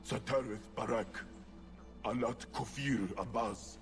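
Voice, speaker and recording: An actor playing a grave, resolute warrior of a horned fantasy race in a video game, measured and formal. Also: male voice, mid-range voice, faint music behind, lightly acted. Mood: stern, resolute.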